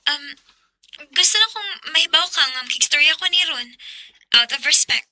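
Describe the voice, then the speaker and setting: High, very bright young woman. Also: expressive, moderate pace, dry recording, heavily acted, poor audio. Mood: earnest. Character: Aya, frank, sincere young woman confessing her love. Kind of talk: Bisaya radio drama acting.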